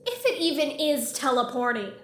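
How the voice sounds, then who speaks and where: A woman's voice: sits high, moderate pace, natural voice. A woman playing a small, exasperated, reluctant young spaceship captain in a sci-fi audio drama, indignant and protesting. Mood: skeptical. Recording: echoey room with faint noise.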